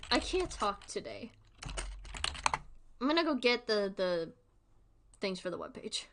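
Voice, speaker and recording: A woman's voice: medium pitch, big pitch swings, a little slow, background noise. A woman hosting a livestream with casual, informal commentary, rambling and thinking aloud as she goes. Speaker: livestream host.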